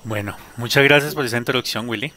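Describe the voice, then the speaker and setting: A man's voice: medium pitch, fast talker. Thirties guy guest on a video call w headset, laughs a lot, noisy line. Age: 30s. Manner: warm, laughs often, hesitant fillers. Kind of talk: personal testimony in an interview.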